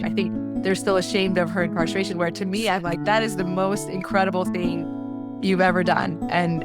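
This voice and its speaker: A woman in her forties, an interview guest from Iran, telling a personal story in an earnest, conversational way. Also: on the low side. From Iran, moved to the US as a child. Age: 40s. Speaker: interview guest, law professor.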